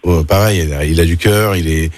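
A chef from Marseille with a Marseille accent, talking warmly in a casual radio interview, often restarting his sentences. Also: low voice, brisk, no room sound. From Marseille, France. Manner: warm, emotional, many restarts.

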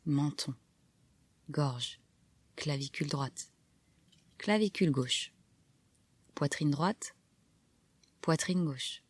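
Slow, low-pitched woman guiding relaxation. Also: husky, dry recording. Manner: calm, soft, measured instructions with pauses. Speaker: yoga nidra guide. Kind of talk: guided relaxation meditation narration.

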